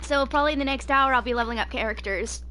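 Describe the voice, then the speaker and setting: A woman's voice: high voice, racing, bright, forceful tone, soft background music. A woman in her twenties chatting casually to viewers as she makes a video. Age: 20s. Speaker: video maker. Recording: clear background noise, clicks.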